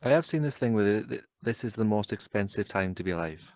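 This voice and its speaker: Lowish bloke, podcast co-host, contrary and teasing, dry close sound. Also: male voice, expressive, fast, dry recording. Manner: contrary, matter-of-fact, teasing. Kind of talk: casual chat.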